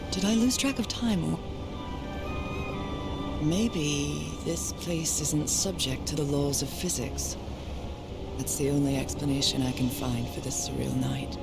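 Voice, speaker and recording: A woman's voice: low-pitched, vocal fry. An actress playing a troubled, wistful woman explorer, narrating her thoughts reflectively and hesitantly in a dramatic video game. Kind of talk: dramatic first-person game narration, introspective. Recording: loud music behind the voice. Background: loud game music.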